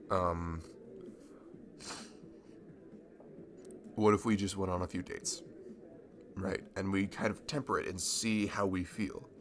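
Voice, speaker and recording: A man acting a nervous, smitten young man in an intimate ASMR roleplay, speaking in a halting, stammering, bashful way. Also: on the low side, background noise. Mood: shy, hopeful. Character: nervous, smitten young man confessing to his childhood best friend.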